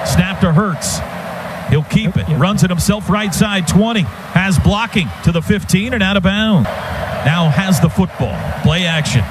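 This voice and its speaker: A man's voice: high voice, expressive, measured pace. A man doing live radio play-by-play of a football game in urgent, clipped present-tense phrases. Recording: noisy live stadium radio broadcast.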